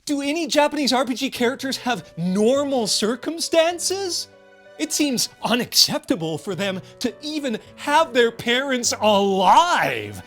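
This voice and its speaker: A man's voice: very high-pitched, very animated, a little slow, a bit bright, soft background music. A man delivering scripted video game commentary in a sardonic, wry way with exaggerated emphasis.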